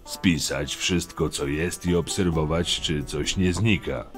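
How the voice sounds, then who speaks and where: A man's voice: rumbling low, slow pace, faint music behind. A man playing a stern, loyal, level-headed chief of camp guards in a fantasy audio drama, speaking firmly and matter-of-factly. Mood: businesslike. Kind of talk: acted dialogue, fan audio drama.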